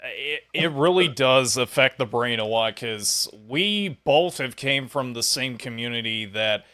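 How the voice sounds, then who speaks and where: A man's voice: mid-range voice, lively intonation, measured pace, sharp tone. A man hosting a casual, conversational podcast interview.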